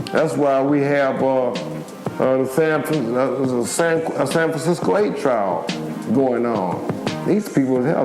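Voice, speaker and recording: Older American male interviewee, reflective and searching for words, recorded in an echoey space with clear music underneath. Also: medium pitch. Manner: halting, repetitive, searching for words. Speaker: former activist giving a firsthand account. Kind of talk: spoken documentary interview, reflective recollection.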